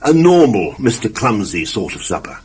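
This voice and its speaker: A man's voice: fairly low pitch, very animated, moderate pace, a little mellow, slight background noise. A man narrating a scripted children's story expressively, with emphatic phrasing and asides to the listener.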